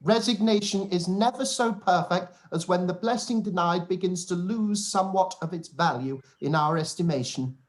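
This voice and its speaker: A woman's voice: lowish voice, moderate pace, hardly any pauses, natural voice, rough recording. A woman playing a pompous, wounded, ceremonious suitor in a period radio play, speaking formally. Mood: wounded dignity. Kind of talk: period drama live-read radio play.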